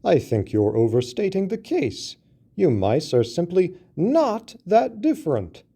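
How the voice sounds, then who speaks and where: A man's voice: sits high, very animated, a little slow, gravelly, mellow, husky, pitched above the performer's own voice, heavily acted. A man voicing a well-meaning male elephant who explains himself patiently and reasonably. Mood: reasoning.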